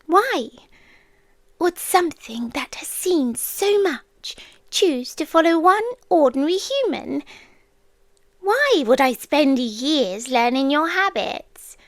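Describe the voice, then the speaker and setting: A British woman playing an ancient, possessive female creature in an intimate ASMR roleplay, speaking softly and teasingly with deliberate pauses and drops into whispers. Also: high-pitched, big pitch swings, dry recording, full character voice. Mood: teasing menace. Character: ancient female stalker creature, possessive, watchful, tenderly menacing. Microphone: close microphone, dry.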